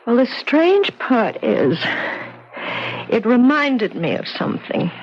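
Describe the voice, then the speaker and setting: An actress playing a frightened, fragile grown daughter haunted by childhood fear, emotional and halting, in a radio drama. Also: female voice, fairly high pitch, sing-song, full character voice. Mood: reflective, hopeful. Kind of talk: 1970s radio drama acting. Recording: dull 1970s radio recording.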